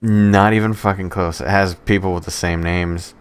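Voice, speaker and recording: Deep-voiced man chatting on a podcast. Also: steady tone, normal speed, clean tone. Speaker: podcast co-host. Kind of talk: casual podcast banter.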